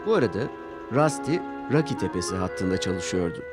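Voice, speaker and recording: Male children's story narrator over loud music. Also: low voice, fairly quick. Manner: expressive storytelling. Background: background music.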